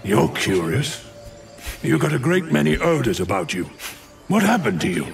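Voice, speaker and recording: A man voicing an inquisitive animal with a gravelly voice in a video game. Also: mid-range voice, big pitch swings, moderate pace. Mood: curious. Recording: music behind.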